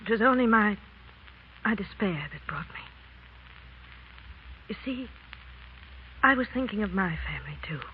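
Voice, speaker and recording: Actress as desperate, pleading wife, old radio. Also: female voice, medium pitch, lively intonation, measured pace, pauses often, lightly acted. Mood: despairing. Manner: earnest, imploring, formal. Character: desperate pleading Frenchwoman, devoted wife of condemned prisoner. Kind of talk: 1930s radio drama acting. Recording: muffled, noisy 1930s radio recording.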